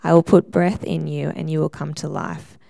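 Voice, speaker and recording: A woman reading a scripture passage aloud to a group in a steady, measured way. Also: sits low, vocal fry, dry recording. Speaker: scripture reader.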